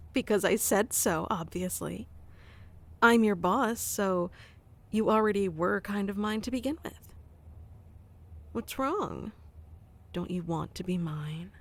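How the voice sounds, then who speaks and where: A woman doing a soft-spoken ASMR roleplay, teasing and commanding yet doting, close to the mic. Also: medium pitch, takes it slowly, gravelly, pauses often. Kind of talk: ASMR roleplay, playing a bossy affectionate boss.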